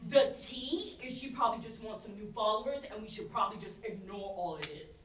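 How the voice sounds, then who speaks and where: A woman's voice: medium pitch, a little fast, fairly bright tone, natural voice. An actress in a staged play reading, playing an exasperated, teasing young woman who talks in emphatic slang. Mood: mocking. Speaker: student actor in a staged reading. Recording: roomy live stage, distant mic, background noise.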